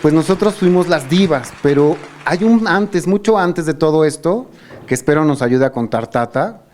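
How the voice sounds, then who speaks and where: A man's voice: on the high side, animated, normal speed, darkish tone, slight background noise. A middle-aged man with a Mexican Spanish accent, hosting and telling stories in a casual, reminiscing chat. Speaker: host.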